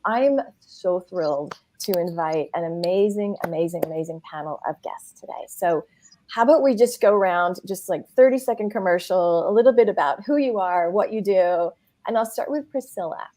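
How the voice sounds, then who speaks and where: A woman's voice: on the low side, normal speed, warm tone. An American woman hosting a casual panel talk, effusive and chatty, often restarting her sentences. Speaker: host.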